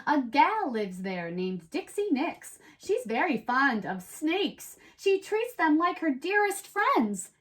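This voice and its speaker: A perky, high-pitched storybook buddy from a kids' show, played by a woman. The delivery is bubbly and very melodic, moving between rhythmic read-aloud and chatty asides. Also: very animated, slow pace, few pauses, a bit bright, heavily acted. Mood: enthusiastic. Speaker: co-host reading a picture book aloud.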